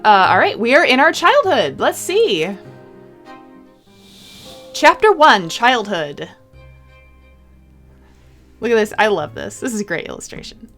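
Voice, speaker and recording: A woman's voice: fairly high pitch, very animated, a little slow, long pauses, a bit bright, soft background music. A woman doing a let's-play of a visual novel, reading the text aloud expressively and adding casual, chatty comments. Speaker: game streamer.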